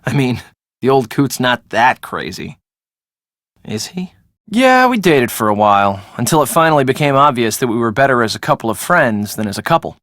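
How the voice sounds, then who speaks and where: A woman's voice: very deep voice, big pitch swings, moderate pace, a bit bright, no echo, clean studio sound, exaggerated voice. An actress playing a breezy, sarcastic young woman in a video game, talking in a casual, slangy way. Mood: gossipy, exasperated. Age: young adult. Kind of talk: video game dialogue acting.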